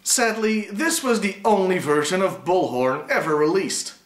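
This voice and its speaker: Male on-camera review host, wry and opinionated, animated intonation, roomy camera sound. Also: high-pitched, unhurried. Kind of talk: scripted presenting to camera. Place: living room, on a sofa.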